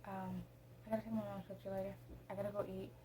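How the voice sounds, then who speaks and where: A woman's voice: medium pitch, flat delivery, quick pace, warm tone, a little hiss or hum, poor audio. A teenage girl vlogging at home, chatting casually to her camera, rambling with lots of ums. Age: teens. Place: room at home.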